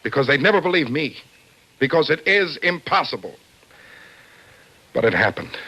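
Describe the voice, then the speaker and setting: A man's voice: on the high side, sing-song, normal speed, pushed and bright, husky, full character voice, rough recording. An actor playing a distraught young man confessing to murder in an old radio drama, stammering and repeating himself. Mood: desperate, insistent. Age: young adult. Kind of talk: mid-century radio drama acting.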